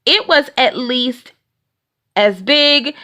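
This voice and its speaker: A woman reading a children's storybook aloud in an expressive, deliberate way with dramatic emphasis. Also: high-pitched. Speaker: storybook reader.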